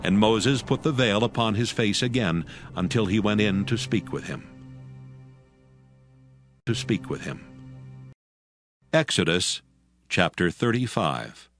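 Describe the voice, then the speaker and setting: Low-voiced solemn male scripture narrator. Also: animated, takes it slowly, long pauses, soft background music.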